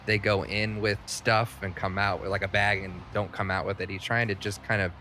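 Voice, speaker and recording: A man's voice: lowish voice, level tone, a little fast, sharp tone, a little hiss or hum. A man chatting casually as a player in a tabletop role-playing game.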